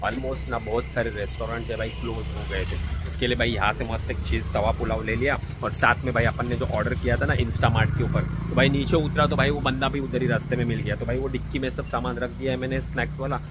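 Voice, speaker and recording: Young male vlogger, mid-pitched, chatty phone-at-arm's-length selfie talk. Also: fairly even intonation, fast talker, hardly any pauses, heavy background noise. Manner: chatty, says "bhai" constantly. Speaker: vlogger and online seller. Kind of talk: casual vlog talk to camera. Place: small indoor office room, also outdoors on a street at night. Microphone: phone held at arm's length.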